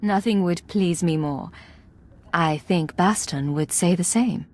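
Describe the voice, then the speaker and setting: An actress playing a composed, resolute imperial agent in a video game, husky and measured in delivery. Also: female voice, lowish voice, a little mellow. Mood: gentle, resolute. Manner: measured, formal, controlled.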